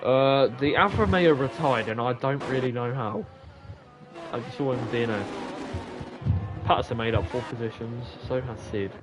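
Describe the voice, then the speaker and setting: A man commentating an online racing league in a loose, rambling way and talking straight to his listeners. His voice is wearing out. Loud music and engine noise play behind him the whole time. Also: mid-range voice, normal speed. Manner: rambling, talks straight to the listeners, excited at big moments. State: losing his voice. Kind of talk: casual live race commentary. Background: loud music and car engines.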